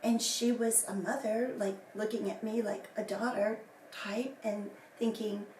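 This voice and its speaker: A woman giving a rambling personal account, her voice still hoarse and breathy from illness, often dropping into whispers. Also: medium pitch, fairly even intonation, measured pace, darkish tone, slight background noise. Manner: rambling, many fillers and restarts. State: recovering from lost voice, hoarse and whispery. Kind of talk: casual personal statement to camera. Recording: echoey room from a distance, faint ambience.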